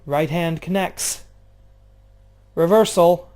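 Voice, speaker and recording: A man doing casual play-by-play of a video game wrestling match, jumping between loud outbursts and low, offhand, swearing asides. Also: slow. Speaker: play-by-play commentator.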